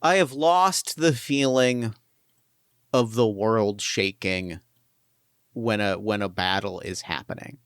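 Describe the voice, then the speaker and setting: A man's voice: lowish voice, slow pace, clear, resonant tone, dry recording, clean studio sound. A man running a tabletop actual play as game master, musing about his character in a rambling, thoughtful way with many restarts.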